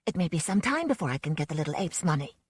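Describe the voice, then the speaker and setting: A coarse, teasing young bride from a circus tale, as a woman reader plays her. She sneers at her tiny husband and swings her pitch up and down with relish. The delivery is close and dry. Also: sing-song, quick pace, breathy edge, no echo, exaggerated voice. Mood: whispering.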